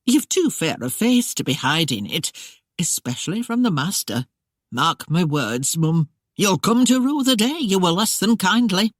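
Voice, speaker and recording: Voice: medium pitch, sing-song, unhurried, no echo, clean studio sound, pitched above the performer's own voice. A reader doing a coaxing old-fashioned housekeeper. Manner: rustic old-fashioned speech. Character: coaxing older housekeeper woman, gentle but insistent.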